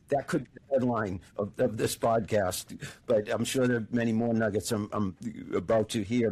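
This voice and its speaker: A middle-aged man hosting a podcast, with a lowish voice. He talks enthusiastically, with lots of repeated words and restarts as he works his way into his questions. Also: warm tone. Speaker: podcast host. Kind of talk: casual interview conversation.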